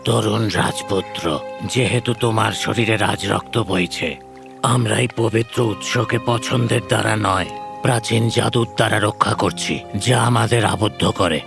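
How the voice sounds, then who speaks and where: A man voicing a wise, solemn ancient guardian spirit in an animated fairy tale, grave and formal with a gravelly voice. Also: fairly low pitch, moderate pace, music in the background.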